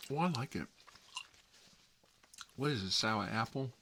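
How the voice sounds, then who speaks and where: A man's voice: medium pitch, expressive, unhurried, sharp tone, a little hiss or hum. A man playing a put-upon, self-deprecating middle-aged uncle in a rambling, folksy ASMR comedy role-play. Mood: chatty, rambling.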